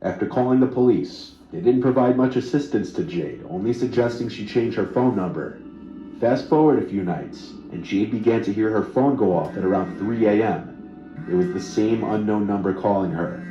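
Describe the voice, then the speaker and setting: A man with a low, dark voice tells a scripted creepy story in a serious, measured manner. The sound is dull and echoey, with music underneath. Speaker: horror story narrator.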